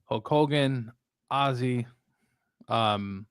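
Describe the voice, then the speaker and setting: A man co-hosting a casual sports chat, talking in a reflective, halting, conversational way. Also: mid-range voice, smooth, no room sound, clean studio sound. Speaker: sports show co-host.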